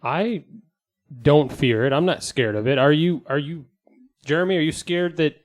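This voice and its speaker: A man's voice: on the high side, normal speed. A man hosting a casual podcast chat in a studio, prodding the panel with questions, joking and swearing freely.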